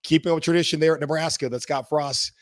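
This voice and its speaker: A hoarse man hosting a sports podcast, talking in a casual, rambling way. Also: fairly high pitch, fairly even intonation, fast talker, no echo, studio quality. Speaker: podcast host.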